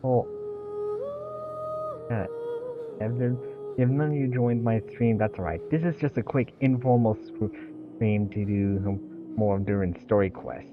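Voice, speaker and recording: A man streaming a game live, chatting informally in a hesitant, halting, low-key way. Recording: dull lo-fi mic under game music. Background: game music.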